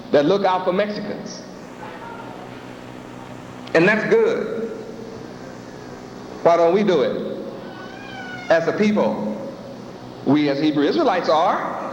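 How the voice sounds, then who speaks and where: A man's voice: sits high, slight background noise. A man preaching a sermon with rhetorical questions and a repetitive cadence. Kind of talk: sermon with acted dialogues. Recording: echoey hall, dull lo-fi sound.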